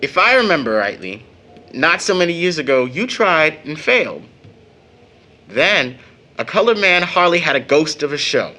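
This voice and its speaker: A man's voice: fairly high pitch, big pitch swings, sharp tone. An actor playing a young man, a devoted son with a bitter, teasing streak, in a dramatic audio play. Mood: wry, discouraged. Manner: dramatic, emphatic delivery with long pauses. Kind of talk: stage-style audio drama acting.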